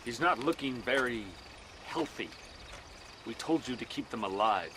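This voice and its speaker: An actor playing a cold, condescending government agent in a Western video game, speaking curtly. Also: male voice, medium pitch, lively intonation, normal speed, background noise, close to an everyday voice. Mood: cold, demanding. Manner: curt, condescending. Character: cold, officious Old West government agent. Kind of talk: video game cutscene acting.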